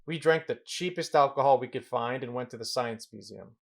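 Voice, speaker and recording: An actor playing a restless, observant young romantic, narrating in a measured, introspective way for an audio drama. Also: male voice, mid-range voice, creaky. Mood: amused.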